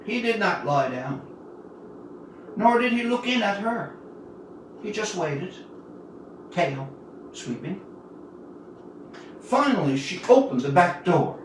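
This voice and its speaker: A man in his seventies from Newfoundland, Canada, reads his own story aloud expressively, with deliberate dramatic pauses between phrases. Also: high voice. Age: 70s. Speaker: author reading own story. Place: indoor room, livestream reading. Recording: roomy, distant mic with faint noise.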